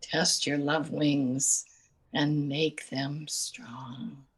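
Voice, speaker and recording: A woman with a raspy voice reading a poem aloud slowly and softly over a video call. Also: low voice, flat delivery. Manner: soft, slow, deliberate pauses. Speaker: poetry reader.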